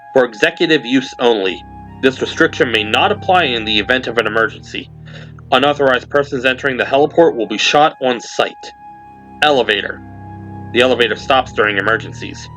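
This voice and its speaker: Male narrator, medium pitch, scripted audio drama, deliberate suspenseful phrasing, clear background music. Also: a bit bright.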